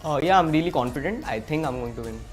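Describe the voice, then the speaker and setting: A man's voice: on the high side, expressive, fast talker. A nineteen-year-old man from India with an Indian accent, speaking as a player in a profile interview. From Kolkata, India. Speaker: esports player. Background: music.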